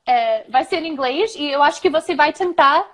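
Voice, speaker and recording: Woman from the southeastern US, high voice, chatty, laughing often, video-call mic. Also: lively intonation, fast talker. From southeastern United States. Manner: chatty, laughs often, mixes English and Portuguese. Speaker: English teacher, co-host. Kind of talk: casual live-stream chat. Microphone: webcam or phone on a video call.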